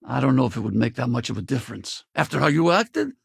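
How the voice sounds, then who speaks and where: A man playing a weathered, loyal spacefaring pilot in a video game, gravelly and husky, with a casual, wry way of talking. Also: mid-range voice, very animated, quick pace, no room sound, clean studio sound, heavily acted. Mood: regretful. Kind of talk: video game companion dialogue.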